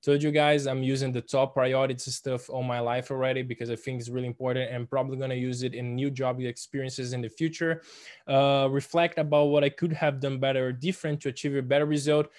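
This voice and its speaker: Young male student, Portuguese native, hesitant presenter on a video call, mid pitch. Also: level tone, darkish tone. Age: young adult. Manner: hesitant, halting, with many fillers and restarts. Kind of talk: online presentation.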